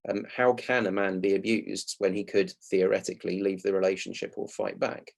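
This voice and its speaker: A man presenting a prepared public talk in a measured, articulate, explanatory way. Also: low voice, little pitch movement, gravelly. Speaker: playwright and actor giving a talk.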